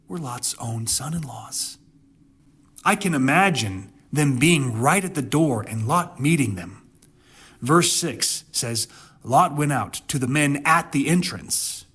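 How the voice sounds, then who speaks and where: A man giving dramatic commentary on scripture as a host, with emphatic, deliberate phrasing. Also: mid-range voice, breathy edge.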